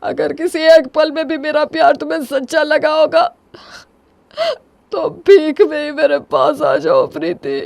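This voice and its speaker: A proud, distraught young husband, acted by a woman, speaks with melodramatic emphasis. The voice rides high in a dry story recording. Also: unhurried, gravelly, long pauses, darkish tone, dry recording. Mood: tearful remorse.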